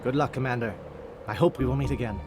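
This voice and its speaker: A man's voice: mid-range voice, fast talker, lightly acted. A man voicing a dignified, resolute alien military captain in a video game, speaking in formal, oratorical phrases. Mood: solemn, rousing. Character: male alien special-forces captain, solemn, dignified, resolute. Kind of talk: video game rallying speech. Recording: very loud music under the voice.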